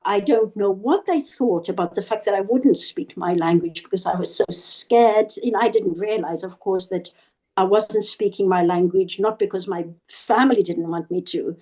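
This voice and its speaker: A woman from Zimbabwe, an author and guest on a radio interview, speaking reflectively with soft laughs and small restarts. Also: mid-range voice, normal speed.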